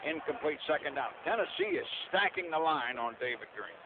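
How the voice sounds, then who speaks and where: High-pitched man calling football on tinny radio. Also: animated, fairly quick. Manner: partisan, terse bursts, says "we" for the home team. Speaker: play-by-play sports announcer. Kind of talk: live American football radio commentary. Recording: tinny band-limited radio broadcast, noisy.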